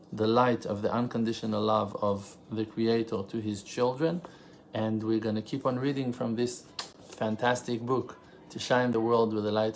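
A man's voice: lowish voice, gravelly, slight background noise. Israeli man giving an earnest religious lesson. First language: Hebrew. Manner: earnest, emphatic, rhetorical questions; halting, pauses and self-corrections while translating. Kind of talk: spontaneous religious teaching.

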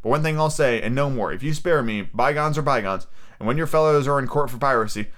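A man's voice: fairly high pitch, animated, brisk, a bit bright, voice pushed up. A man voicing a bold, defiant boy hero in a pirate adventure story, earnest and declaiming. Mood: bold, excited.

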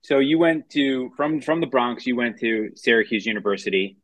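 A man's voice: mid-range voice, animated, moderate pace, fairly bright tone, no echo. An American man in his forties asking casual interview questions, informally rephrasing them as he goes. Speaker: podcast interviewer.

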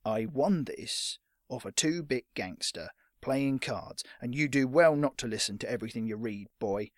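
A man voicing a gruff old retired fighter in a storybook, with a curt, menacing manner. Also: medium pitch, animated, moderate pace, dry recording, clean studio sound, lightly acted. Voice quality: rough.

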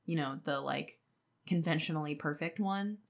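A woman's voice: sits low, normal speed, vocal fry. A woman co-hosting a casual book discussion, analysing characters in an informal, conversational way peppered with "like". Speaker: podcast co-host.

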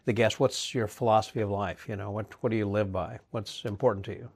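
A man's voice: on the low side, steady tone, fast, gravelly, husky. A man in his early seventies hosting a podcast, closing an interview and thanking listeners in a friendly, easygoing way. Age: 70s. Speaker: podcast host. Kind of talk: casual interview and closing remarks.

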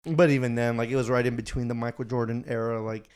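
A man in his twenties, chatting casually with a friend. He swears freely and repeats words as he gets excited. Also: mid-range voice, quick pace, clean tone. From Houston, Texas. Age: 20s. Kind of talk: casual chat.

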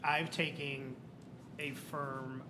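A man's voice: medium pitch, level tone, very slow, background noise. A man from a craft distillery who talks in a blunt, swaggering way and acts out quotes in casual chat.

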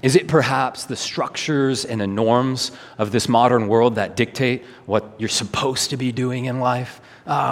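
A man preaching a sermon in an earnest, emphatic way, with rhetorical questions and repetition. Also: medium pitch. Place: large hall, preaching to a congregation. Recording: reverberant hall.

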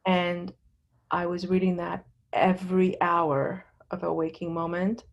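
A middle-aged woman from Lebanon giving candid personal testimony as an interview guest, in a halting, conversational way. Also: on the low side, flat delivery, vocal fry, highs cut off.

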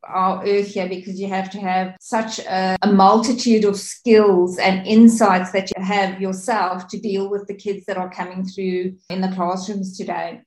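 A woman's voice: medium pitch, little pitch movement, measured pace, few pauses, darkish tone. Afrikaans-speaking woman podcast host, roomy. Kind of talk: podcast interview.

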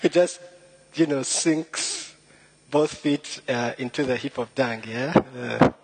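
A man's voice: medium pitch, slow pace, breathy edge, poor audio. A young man from Rwanda, a little nervous, giving a chatty, joking talk full of puns and self-deprecating humor. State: a bit nervous. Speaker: guest speaker. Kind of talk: informal storytelling talk.

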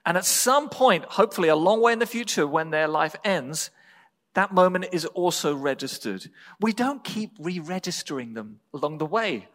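A man with an English accent preaching a sermon as a pastor, speaking in measured, deliberate phrases with pauses. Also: fairly high pitch, lively intonation, a bit bright. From England. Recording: reverberant hall.

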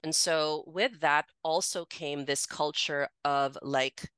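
A woman's voice: low-pitched, fairly even intonation, slow pace, a bit bright, smooth, dry recording. A woman in her forties from the Philippines, a podcast guest candidly telling her life story in a chatty way. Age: 40s. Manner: candid, chatty, many "like" fillers, laughs easily.

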